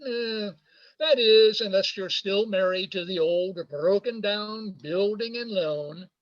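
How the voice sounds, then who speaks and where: Radio drama: male actor as a jovial, teasing big shot, high-pitched and slow, hearty in his boasting. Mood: smug. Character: brash, jovial male businessman, George's old pal. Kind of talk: amateur radio play acting. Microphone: video call. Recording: muffled video call audio.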